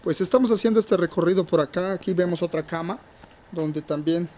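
A man's voice: sits high, brisk, dark tone. A man reporting on a walking tour of a barn shelter, talking with many fillers and sounding indignant. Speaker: reporter touring a refugee shelter. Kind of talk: walking tour commentary and interview. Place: wooden cattle barn indoors.

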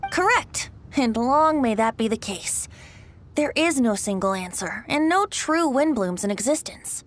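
A woman's voice: on the high side, lively intonation, measured pace. A woman voicing a carefree, teasing young male bard in a video game, light and boyish with a lilting delivery. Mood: gently reflective. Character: playful, carefree youthful male bard, secretly wise. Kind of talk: video game character acting.

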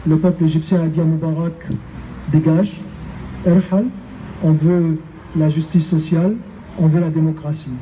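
An older man from Egypt giving an emphatic speech at an outdoor street rally into a handheld mic, often pausing on fillers. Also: high voice, dark tone, lo-fi sound, slight background noise. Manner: emphatic, hesitant with many fillers. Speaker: rally speaker. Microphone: handheld microphone.

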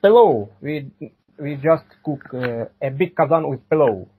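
A man, answering interview questions haltingly and repeating words and phrases. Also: fairly high pitch, expressive, dark tone, husky, rough recording. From Ukraine. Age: 40s. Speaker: podcast guest.

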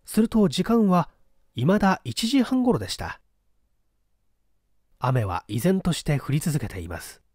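A man narrating a mystery novel as an audiobook, in a measured storytelling manner. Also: a little high, big pitch swings, a little mellow, no room sound, clean studio sound. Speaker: audiobook narrator, small-theatre stage actor. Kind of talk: scripted narration, mystery novel read aloud.

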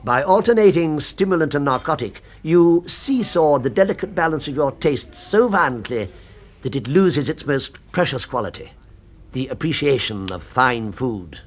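A male actor plays a gourmet gentleman from a 1970s radio drama, consumed by his passion for fine food. His speech is florid and exacting, and his medium-pitched voice swings widely as he stresses his words. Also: lively intonation, moderate pace, natural voice. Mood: fervent, reverent. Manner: precise, florid, emphatic speech. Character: fervent gourmet gentleman, obsessed with a restaurant's food.

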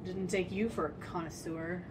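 A woman playing a skeptical, sardonic sidekick in a fantasy audio drama, with a deadpan, teasing manner. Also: on the low side, normal speed, noisy background, natural voice. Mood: dry impatient.

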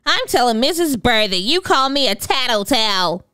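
A woman's voice: on the high side, very animated, normal speed, pushed and bright, clear, resonant tone, no echo, soft background music, pitched above the performer's own voice. A woman voicing a fussy young animal schoolboy in a children's storybook, whiny and insistent. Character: Philip, fussy, rule-following young boy animal schoolkid.

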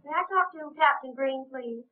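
Actress as high-voiced secretary, tinny 1930s film. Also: female voice, moderate pace, lightly acted. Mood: businesslike. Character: brisk, inquisitive young secretary.

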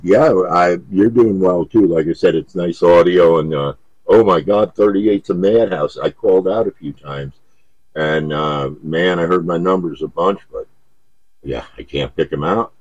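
A man's voice: low voice, unhurried, a little mellow, breathy edge, no echo, lo-fi sound. A man chatting casually over CB radio in an easygoing, folksy, hesitant way. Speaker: CB radio operator. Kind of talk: casual radio contact chat.

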